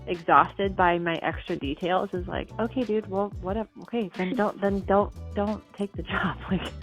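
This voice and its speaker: A woman's voice: medium pitch, normal speed. A woman customer talking casually on the phone, informal and chatty, telling stories with quoted dialogue. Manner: chatty, informal, animated storytelling with acted-out quotes. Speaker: customer calling to book a job. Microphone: telephone. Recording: muffled phone call recording, music behind.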